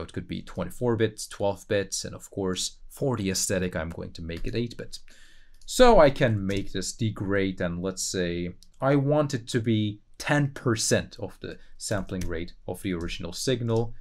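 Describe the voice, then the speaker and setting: Low-voiced man giving a tutorial. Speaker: tutorial host. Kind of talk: casual software tutorial, thinking aloud.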